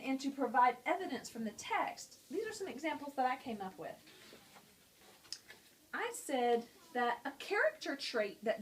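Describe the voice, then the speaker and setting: Teacher woman with a higher voice. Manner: friendly, explanatory, talking to students. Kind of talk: explaining a lesson on a picture book.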